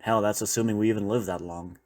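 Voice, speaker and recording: Man acting as low-voiced, weary apocalypse survivor. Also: steady tone, fast talker, darkish tone, close to an everyday voice. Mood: wistful, reflective. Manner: reflective, rambling, conversational. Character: weary male former doctor surviving a zombie apocalypse, loyal partner. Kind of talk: intimate audio roleplay, one-sided dialogue.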